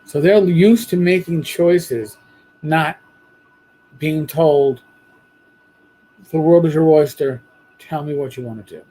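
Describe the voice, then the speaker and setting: A man hosting a podcast, talking bluntly and casually with a hoarse voice after losing it. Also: fairly high pitch, slow, pauses often, mellow, rough recording. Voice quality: husky. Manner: blunt, profane, rambling. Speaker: podcast host. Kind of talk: casual monologue.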